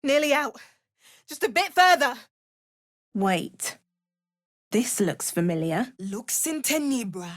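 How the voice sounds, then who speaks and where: A woman's voice: fairly high pitch, sing-song, takes it slowly, long pauses, dry recording, heavily acted. Determined adventurer woman, dry close sound. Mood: urgent, strained, then forceful, incanting. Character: alert, determined adventurer woman casting spells. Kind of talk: video game character voice lines.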